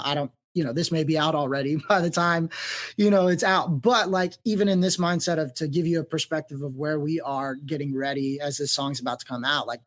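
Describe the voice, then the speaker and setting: A man speaking as a touring band musician in a casual interview, explaining things in an earnest way. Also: on the high side.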